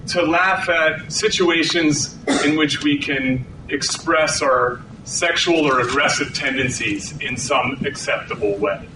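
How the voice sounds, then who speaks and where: A man's voice: slow, hardly any pauses. Man lecturing in an echoey hall. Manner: conversational, self-deprecating, frequent restarts. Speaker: researcher giving a talk. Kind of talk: lecture to an audience. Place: hall with an audience. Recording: echoey hall, distant mic, rumbling noise.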